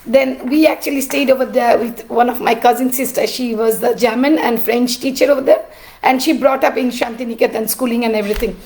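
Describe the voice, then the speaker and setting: High-pitched woman with a cracked voice. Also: fairly even intonation, a little mellow, rough recording. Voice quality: cracked, rough voice. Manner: halting, with many "uh" pauses. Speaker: artist and art teacher. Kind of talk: personal storytelling.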